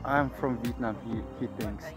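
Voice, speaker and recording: A man's voice: medium pitch, fairly even intonation, normal speed. A young man from Kazakhstan, hosting upbeat street interviews on a city street and laughing often. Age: young adult. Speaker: vlogger host. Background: music.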